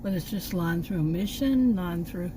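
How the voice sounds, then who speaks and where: A woman's voice: on the low side, normal speed, mellow, slight background noise, poor audio. Rambling Texan woman talking outdoors. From Texas. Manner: rambling, chatty, with frequent fillers and self-corrections. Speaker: channel host. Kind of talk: casual vlog monologue. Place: outdoors near woods and a park.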